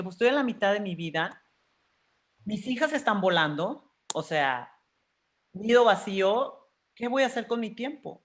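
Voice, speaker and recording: A woman in her fifties speaks Spanish with a Mexican accent at a middle pitch. She is an interview guest sharing personal experiences in a candid, conversational way, with an expressive delivery that often acts out her own thoughts. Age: 50s.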